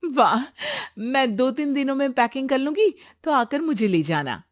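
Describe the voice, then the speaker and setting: A woman voicing an outspoken young bride-to-be in an expressive, emphatic cartoon story style. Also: sits high, normal speed, no room sound, studio quality, pitched above the performer's own voice. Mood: polite, perky. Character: Neha, outspoken young bride-to-be, chirpy, sometimes exasperated. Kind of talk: animated Hindi story voice acting.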